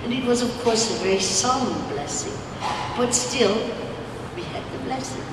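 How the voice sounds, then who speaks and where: Older woman, host type, rambling welcome speech, booming hall reverb, distant PA sound, steady machine hum. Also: normal speed. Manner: rambling, warm-hearted, repeats and restarts words. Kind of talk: informal welcome speech into a handheld mic. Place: large winery production hall with steel equipment, indoors. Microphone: handheld microphone through a PA. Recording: echoey hall heard from far off through a PA.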